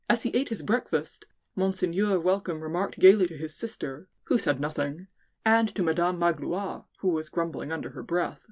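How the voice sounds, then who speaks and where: A woman's voice: on the low side, a little mellow. A woman giving scripted narration of classic fiction as an audiobook narrator.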